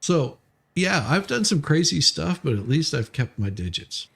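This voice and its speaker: A man's voice: on the high side, big pitch swings, measured pace, gravelly, slightly rough. A man streaming casual, jokey chat in a rambling way, often repeating his words as he goes. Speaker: live streamer.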